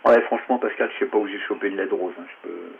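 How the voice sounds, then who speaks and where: A man's voice: low-pitched, quick pace, creaky. A man chatting casually and in slang as an amateur radio operator over a tinny radio link. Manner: informal, slangy. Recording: tinny narrow ham radio link, clicks, static.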